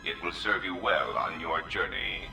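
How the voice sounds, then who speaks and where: An actor voicing a cold, menacing droid crime lord in a video game, formal and calculating, with a gravelly, robotic voice. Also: male voice, moderate pace. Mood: imperious, measured. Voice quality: very deep, gravelly. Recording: distant echoing voice under music.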